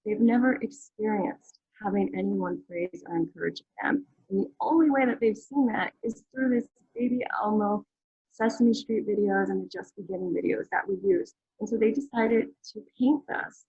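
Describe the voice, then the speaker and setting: A woman's voice: mid-range voice, moderate pace, dark tone, husky, no room sound, highs cut off. A woman presenting a program on a video call, friendly and conversational. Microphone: video call mic.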